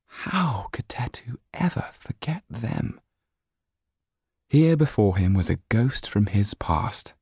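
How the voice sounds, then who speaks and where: A man narrating a novel as an audiobook in expressive, measured storytelling. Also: sits low, gravelly, husky, dry recording, studio quality.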